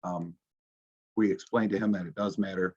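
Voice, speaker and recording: A man's voice: low voice, steady tone, moderate pace, darkish tone, husky. A man, a police officer presenting slides to a community audience, speaking informally with many ums and restarts. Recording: dull, muffled recording.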